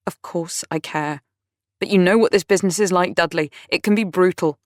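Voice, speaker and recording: A woman's voice: fairly low pitch, no room sound, studio quality, voice pushed up, lightly acted. A woman voicing a patient friend who speaks carefully and holds back her temper. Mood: restrained. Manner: measured, restrained.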